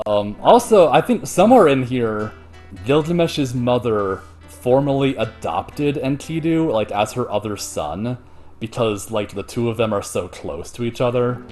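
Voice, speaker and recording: A man's voice: mid-range voice, expressive, a little slow, a little mellow, soft background music. A man hosting a show, retelling a story in casual, slangy, profane and jokey chat. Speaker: host retelling an ancient myth.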